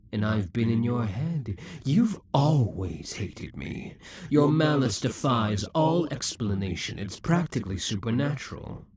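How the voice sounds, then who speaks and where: A man's voice: mid-range voice, a little mellow, slight background noise. A man playing a brooding, possessive demon king. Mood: sly. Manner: brooding theatrical monologue. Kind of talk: audio roleplay addressing the listener.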